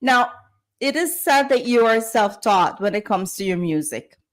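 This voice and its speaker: Woman interview host, higher-pitched voice, conversational delivery. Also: animated, measured pace.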